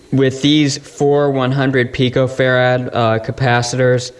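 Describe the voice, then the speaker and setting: A man's voice: mid-range voice, smooth. A man hosting a DIY electronics video, explaining his project casually and informally, as if thinking aloud. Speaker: host of a DIY electronics channel. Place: indoor electronics workbench. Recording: faint steady background noise.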